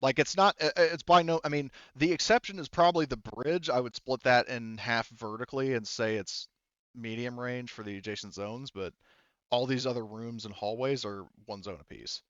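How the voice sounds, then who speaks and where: A man running a tabletop roleplaying game as game master, narrating casually and haltingly with muttered asides. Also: medium pitch, fairly bright tone, no echo, dull audio.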